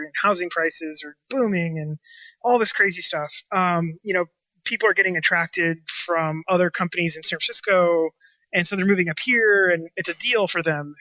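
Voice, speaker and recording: Bright, quick-talking man, chatty and rambling. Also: high-pitched, no room sound, low-quality recording. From Washington State, USA. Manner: rambling, many fillers and restarts. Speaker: chef and restaurant owner. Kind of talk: casual interview talk.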